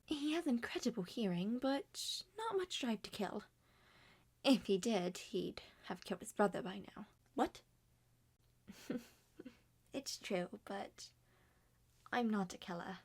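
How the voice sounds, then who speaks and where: A woman voicing many characters in an ASMR roleplay, speaking softly and often dropping to a whisper. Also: medium pitch, animated, slow pace, long pauses, husky. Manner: soft-spoken, drifts between whispers and normal voice.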